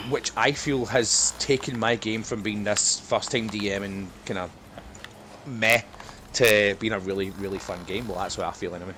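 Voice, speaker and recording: A man's voice: lowish voice, moderate pace, a bit bright. A man chatting casually with friends while gaming, in a rambling, self-deprecating way. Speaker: podcast guest, dungeon master. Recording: clearly noisy background.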